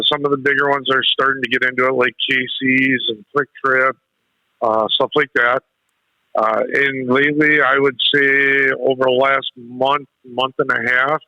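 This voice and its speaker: A man calling in to a radio show, talking casually in halting phrases with pauses. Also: mid-range voice, pushed and bright, no echo, highs cut off. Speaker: radio show caller, truck driver. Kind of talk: casual phone-in chat.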